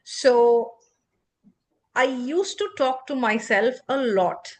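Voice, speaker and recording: A woman whose first language is not English, hosting a podcast and explaining English fluency in an expressive, emphatic teacherly way. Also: a little high, slow. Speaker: podcast host and English coach. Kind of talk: instructive podcast conversation.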